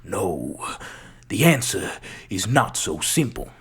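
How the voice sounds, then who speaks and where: An amateur performer reciting a film monologue as a theatrical, grandiloquent gentleman detective with a husky voice. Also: low voice, sing-song, slow pace, exaggerated voice. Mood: deliberate, grave. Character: theatrical gentleman detective, dramatic, deliberate, righteous.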